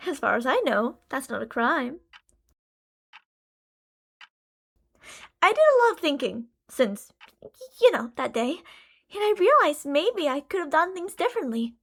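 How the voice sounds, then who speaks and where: A woman's voice: sits high, sing-song, moderate pace, gravelly, a bit bright, full character voice. A woman playing an obsessive, delusional young female stalker and former bully in an ASMR roleplay, clingy and emotionally volatile. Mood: eerily calm. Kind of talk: ASMR roleplay, dramatic monologue to listener.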